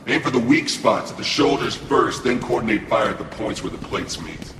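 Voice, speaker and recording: An actor playing a cocky, ruthless military commander with a taunting, commanding manner in an audio drama. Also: male voice, mid-range voice, animated, moderate pace, close to an everyday voice. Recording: roomy, distant, noisy.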